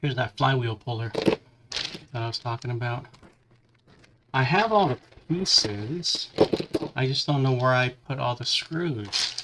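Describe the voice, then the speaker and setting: A man hosting a hobby livestream, chatting casually and haltingly while he works with his hands, still nursing a lingering cough and a stuffy nose. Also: medium pitch, expressive, very noisy. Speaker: livestream host building a model kit.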